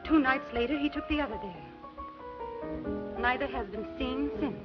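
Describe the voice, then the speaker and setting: A woman's voice: high-pitched, normal speed, natural voice. A woman playing a poised, wary young sister who hides her fear behind polite, guarded speech, in old dramatic style. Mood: hushed, urgent. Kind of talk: old film-era dramatic acting. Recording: dull old recording, loud music behind.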